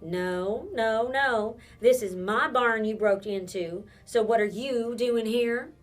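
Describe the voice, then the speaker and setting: A woman voicing a wary, blunt middle-aged woman with a wooden leg, who fires off sharp, probing questions. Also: on the high side, slow. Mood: suspicious. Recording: distant mic with faint instrumental music.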